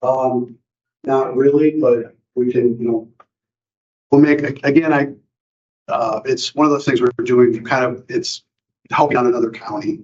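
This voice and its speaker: A man from county staff explains a software deal to a board, halting and full of fillers and restarts. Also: mid-range voice, steady tone. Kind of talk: informal meeting presentation. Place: county board meeting room. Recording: dull lo-fi meeting recording.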